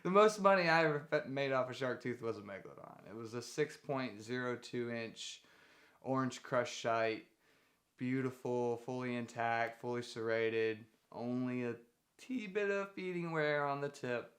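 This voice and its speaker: A man's voice: mid-range voice, normal speed, fairly bright tone. A man who hunts fossils, talking casually in a documentary interview. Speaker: fossil hunter.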